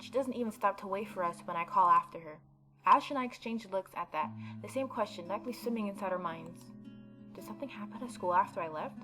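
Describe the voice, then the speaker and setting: A woman hosting a gaming video, reading a visual novel aloud and adding informal, opinionated commentary. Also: medium pitch. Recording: background game music behind voice.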